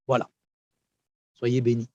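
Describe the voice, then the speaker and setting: A man whose first language is Arabic, a pastor giving a fervent, emphatic testimony and encouragement with repetitions and pauses. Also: medium pitch, completely flat delivery, no echo, lo-fi sound.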